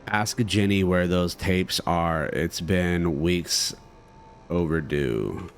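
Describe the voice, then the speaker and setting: A man's voice: deep voice, slow, faint music behind. A man doing casual let's play commentary, thinking aloud and reading game text as he goes. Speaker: gamer playing and commenting.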